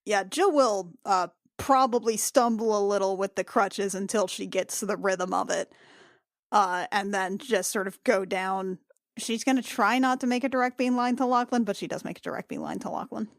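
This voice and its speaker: A woman's voice: mid-range voice, moderate pace, no room sound, clean studio sound. A woman playing in a tabletop roleplaying podcast, improvising casually in and out of character. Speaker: player in a tabletop game podcast.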